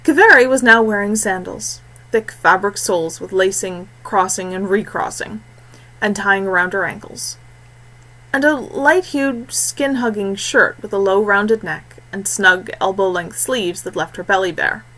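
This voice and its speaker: Female narrator, fantasy audiobook, deliberate phrasing, expressive scripted reading. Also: medium pitch, rough recording.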